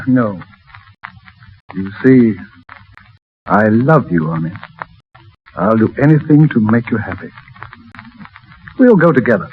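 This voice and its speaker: An actor playing a devoted, urbane, gently forbearing fiancé in a 1930s radio drama. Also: male voice, on the low side, expressive, slow, long pauses. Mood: resigned, tender. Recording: noisy, narrow, distant 1930s radio recording.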